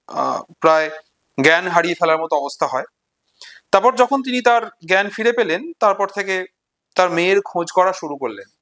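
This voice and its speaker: High-pitched Bengali man explaining literature. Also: fairly bright tone. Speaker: literature presenter. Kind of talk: explanatory storytelling and literary commentary.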